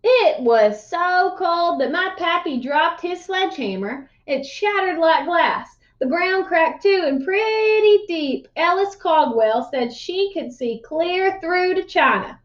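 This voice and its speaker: Old country yarn-spinner, played by a woman: crotchety, emphatic, folksy storybook delivery, high register, lo-fi sound. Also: expressive, heavily acted. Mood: folksy, boastful. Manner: folksy, emphatic, drawn-out exaggeration. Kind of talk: storybook read-aloud character voice.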